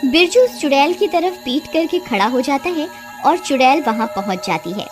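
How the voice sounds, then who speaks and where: A woman narrating a cartoon story in a plain, steady storytelling manner. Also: sits high, moderate pace. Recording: music behind the voice.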